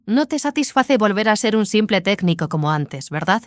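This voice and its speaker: Una actriz interpreta a una cómplice preocupada e inquisitiva en una audioficción de ciencia ficción. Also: female voice, medium pitch, very animated, moderate pace, no room sound, lightly acted. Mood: questioning. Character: Kelly, concerned, questioning female accomplice. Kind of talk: science fiction audio drama dialogue.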